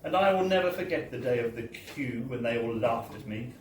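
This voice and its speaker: A man's voice: mid-range voice, animated, brisk. A man giving a theatrical, wry live reading of a comic first-person story, with emphatic tag phrases. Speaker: reader of a story at a book launch. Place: bar, live event. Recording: roomy, distant live recording with background noise.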